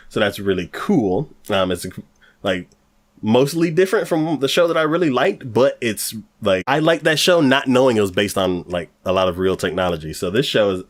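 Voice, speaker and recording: A man co-hosting an anime podcast, chatting casually and rambling with lots of fillers. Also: deep voice. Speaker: podcast co-host.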